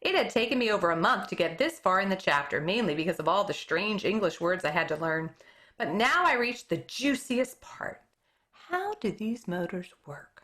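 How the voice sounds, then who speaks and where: A woman reads a chapter book aloud to her class in a bright, ringing voice. Her pitch swoops up and down freely as she tells the story, and she sometimes trips on a word and fixes it as she goes. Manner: expressive read-aloud, occasional stumbles and self-corrections. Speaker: elementary school teacher reading aloud. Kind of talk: reading a children's book aloud.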